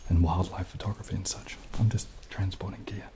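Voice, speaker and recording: Very deep, soft-spoken young man. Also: steady tone, whispered. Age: young adult. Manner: soft-spoken, hesitant, unhurried. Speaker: ASMR video creator. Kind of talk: casual ASMR, partly whispered monologue. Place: small dim indoor room. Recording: clearly audible background noise, added rain.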